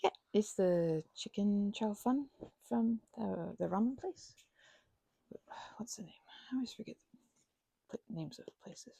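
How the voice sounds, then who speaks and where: A woman playing a chatty, grateful young housemate groggy from a migraine in an ASMR roleplay, speaking softly and haltingly in a breathy, husky voice. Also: medium pitch, lively intonation, no echo, full character voice. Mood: friendly, groggy. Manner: halting, rambling, trailing off mid-sentence.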